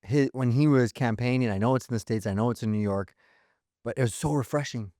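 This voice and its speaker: A man's voice: mid-range voice, brisk, warm tone, dry recording, studio quality. A man co-hosting a podcast, chatting casually with lots of "like" and "you know" fillers. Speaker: podcast co-host. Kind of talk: casual chat.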